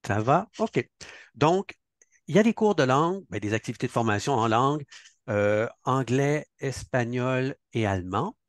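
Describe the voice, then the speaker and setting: A man's voice: mid-range voice, lively intonation, moderate pace, no echo, studio quality. A man hosting a program launch on a video call, chatty, with many fillers and an occasional Spanish greeting. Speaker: event host.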